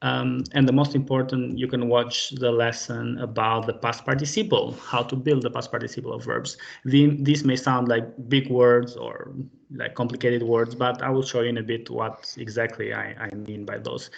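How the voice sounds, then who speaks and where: Gravelly-voiced man teaching on a video call. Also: medium pitch, little pitch movement, moderate pace. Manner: patient, friendly, many fillers. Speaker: online language teacher. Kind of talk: live online group lesson. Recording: dull lo-fi call audio.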